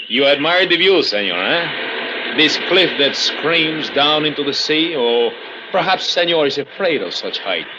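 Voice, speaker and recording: An actor playing a suave, menacing revolutionary host in a 1950s radio drama, deliberate and mock-courteous. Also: male voice, on the high side, animated, muffled recording, background noise. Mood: quietly menacing. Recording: dulled old radio, music behind.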